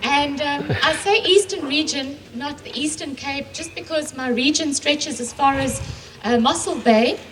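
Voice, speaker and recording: Middle-aged woman, businesslike conference speaker, slightly high voice, distant mic with faint hiss. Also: a little slow, fairly bright tone. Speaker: panelist, regional telecom executive. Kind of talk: panel introduction with a staged phone call. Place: conference room.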